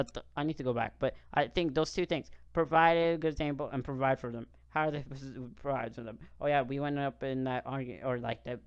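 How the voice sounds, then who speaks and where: A man's voice: medium pitch, smooth, no echo, lo-fi sound. A young man commenting casually on a clip, rambling with many fillers and restarts. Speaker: reaction video host.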